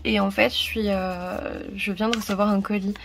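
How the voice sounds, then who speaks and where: A woman's voice: mid-range voice, level tone, normal speed, creaky, a little hiss or hum. A woman chatting casually to camera as a book vlogger, in a rambling, self-correcting way.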